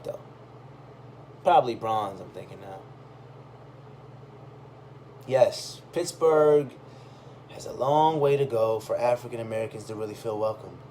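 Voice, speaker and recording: A man reading a first-person story aloud in a conversational, expressive way. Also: medium pitch. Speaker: reader of a personal chronicle. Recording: clearly audible background noise.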